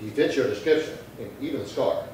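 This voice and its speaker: A man's voice: fast, darkish tone, natural voice. A man playing a no-nonsense police detective, terse and businesslike, in an old-time radio drama. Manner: terse, clipped, businesslike questioning. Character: no-nonsense, persistent male police detective sergeant. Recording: echoing room, distant mic, faint rumbling noise.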